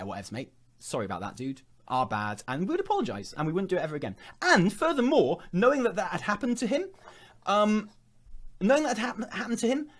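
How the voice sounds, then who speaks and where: Young man, livestream host, casual rambling chat, animated pitch, self-interrupting. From England. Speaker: channel host.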